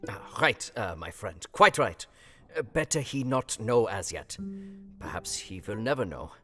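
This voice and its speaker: Eccentric old professor, very lively pitch, long reverb, kindly grave mentor, emphatic halting delivery, audio drama. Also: male voice. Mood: cautioning, grave. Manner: halting, emphatic, foreign-phrased counsel. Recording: long reverb, music behind. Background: guitar music.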